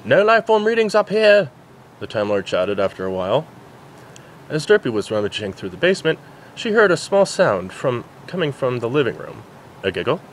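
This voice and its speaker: A man's voice: on the low side, big pitch swings, brisk, a little hiss or hum. A man narrating a story as an amateur reader, stumbling over words now and then. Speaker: amateur fan fiction reader. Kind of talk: story narration, reading aloud.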